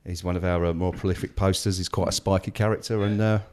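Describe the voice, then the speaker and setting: A man's voice: low voice, steady tone, quick pace, warm tone. A man chatting casually as a podcast co-host. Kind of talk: casual chat.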